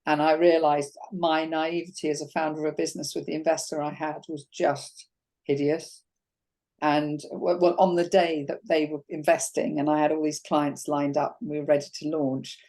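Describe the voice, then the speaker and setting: This is a woman hosting an interview, her voice croaky today. She speaks very low, with a dark, mellow tone. She shares her own story openly and stumbles over restarts as she goes. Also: little pitch movement. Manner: candid and personal, with halting restarts. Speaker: host. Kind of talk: conversational interview.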